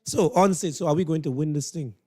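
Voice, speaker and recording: A man's voice: fairly high pitch, fast, crowd noise. A man with a Trinidadian accent hosting a radio interview in an encouraging, conversational way. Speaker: radio host.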